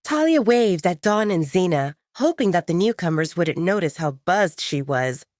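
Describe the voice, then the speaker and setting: A woman's voice: fairly low pitch, lively intonation, moderate pace, darkish tone, no room sound, clean studio sound. A woman reading scripted romantic fiction as an audiobook narrator, telling the story expressively in the third person.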